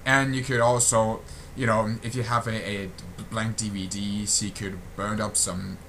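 Bright-voiced young man, halting delivery. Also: on the low side, flat delivery. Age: young adult. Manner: halting, repeats and restarts phrases often. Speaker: gaming video creator giving channel updates. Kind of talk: casual update monologue. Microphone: built-in computer microphone. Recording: noisy built-in computer microphone.

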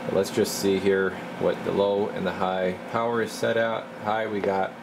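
A man's voice: sits low, moderate pace, creaky. A man casually narrating a hands-on radio demonstration, talking offhandedly to himself as he works. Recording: steady loud equipment and room hum.

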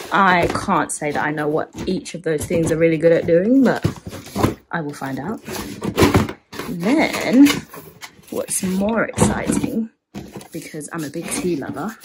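A woman's voice: lowish voice, heavy background noise. A woman, chatting casually in a home vlog, filmed on a handheld phone in her kitchen. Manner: chatty, many ums and likes. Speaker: home vlogger. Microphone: phone held at arm's length.

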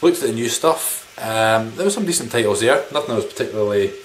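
Low-voiced man chatting casually, noisy room. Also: animated, fast. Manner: rambling, informal, mildly sweary. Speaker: video game collector vlogger. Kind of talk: casual chat showing game pickups to camera. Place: small living room indoors. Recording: background noise, room ambience.

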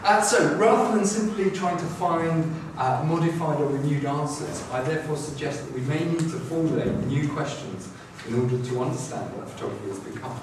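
A middle-aged man giving an academic lecture, talking in a halting way with frequent restarts and fillers. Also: a little high, background noise. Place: classroom with a screen, indoors. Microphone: far camera. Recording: echoey room, far from the camera mic.

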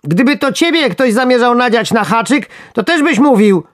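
A storyteller voicing an indignant, panicky little talking worm in a storybook, with a shrill squeaky voice and spluttering, sarcastic rants. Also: huge pitch swings, slow, a little mellow, no room sound, studio quality, exaggerated voice. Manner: ranting, spluttering protests.

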